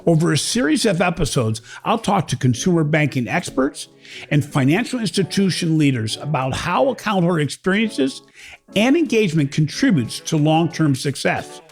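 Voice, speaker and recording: A man hosting a podcast in a lively, animated voice that swings up and down as he stresses figures. His tone has a gravelly edge and sits a little high for a man. Faint music plays underneath. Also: normal speed. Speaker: podcast host. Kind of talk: scripted podcast intro and interview questions.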